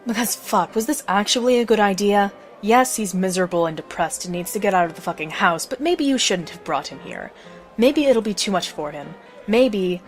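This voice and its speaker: A woman's voice: mid-range voice, animated, normal speed, faint music behind. A woman narrating a scripted story as the narrator of an audio drama.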